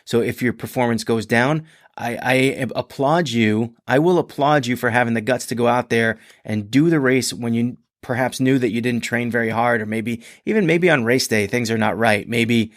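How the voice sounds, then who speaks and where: Hoarse guy rambling on his podcast, mid pitch, dry close sound. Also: moderate pace, no room sound. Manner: rambling, chatty, many restarts and fillers. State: hoarse and congested. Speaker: podcast host. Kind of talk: casual solo podcast monologue.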